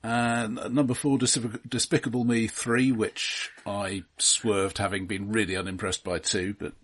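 A man with a husky voice co-hosting a film discussion podcast, listing results and giving dry, understated opinions. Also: lowish voice, little pitch movement, measured pace, a bit bright. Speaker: podcast co-host. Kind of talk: film discussion, reading out awards and box office lists.

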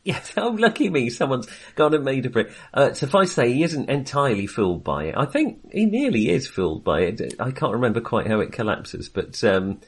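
A man's voice: medium pitch, sing-song, a little fast, few pauses, breathy edge. A man co-hosting a film podcast in casual conversation, with a hesitant, rambling and wry way of talking.

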